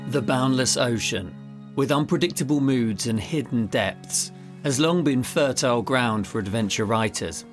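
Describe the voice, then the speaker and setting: A man presents a podcast about adventure writing in a medium-pitched voice. He shifts between scripted introductions and interview conversation, with faint music in the recording. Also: normal speed. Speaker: podcast host and adventure novelist.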